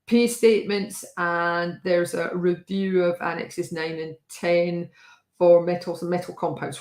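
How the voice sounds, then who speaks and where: A woman with a lowish voice talks in a chatty, wry way, full of fillers and restarts. Her voice is a little hoarse. The room around her sounds echoey. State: slightly hoarse. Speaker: host. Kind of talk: casual live-stream talk.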